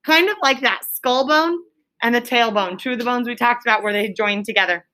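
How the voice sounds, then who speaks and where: A woman's voice: a little high, fairly quick, bright, forceful tone. A woman teaching elementary school pupils in a classroom, prompting them with questions and repeating them, often checking with "right?".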